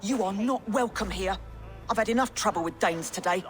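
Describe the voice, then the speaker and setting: A woman's voice: mid-range voice, moderate pace, natural voice. Woman playing a brusque medieval alewife. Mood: brusque, wary. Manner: brusque, folksy. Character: gruff, wary village alewife in medieval England. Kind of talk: dramatic video game dialogue. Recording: music playing under the voice.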